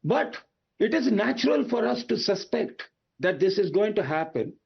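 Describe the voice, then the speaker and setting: A man's voice: high-pitched, very animated, normal speed, vocal fry, breathy edge, highs cut off. A man with an Indian accent giving emphatic, argumentative expert analysis on a news debate. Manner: emphatic, argumentative, with rhetorical questions. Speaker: aviation expert panelist.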